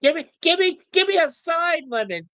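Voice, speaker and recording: A man's voice: very high-pitched, animated, normal speed, bright, forceful tone, no echo. Man, late 50s, teasing podcast host, dry close mic. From Texas. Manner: joking, teasing, laughs often. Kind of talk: casual chat.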